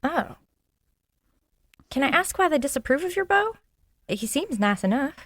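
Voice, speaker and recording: An actress playing a frank, nosy working-class maid in a 1920s period audio drama, with a folksy, plain-spoken manner. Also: female voice, sits high, big pitch swings, moderate pace, no echo. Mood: attentive. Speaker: actor.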